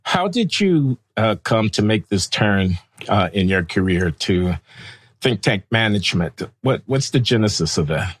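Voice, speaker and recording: Man asking questions, lowish voice, dead dry room. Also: sing-song, a little slow, breathy edge, dry recording. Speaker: interviewer. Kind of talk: conversational interview.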